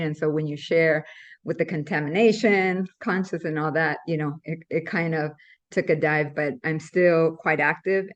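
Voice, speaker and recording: A woman from Ecuador, a team member speaking casually and a little hesitantly on a video call. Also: low-pitched. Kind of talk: casual introduction. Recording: dull lo-fi video call audio.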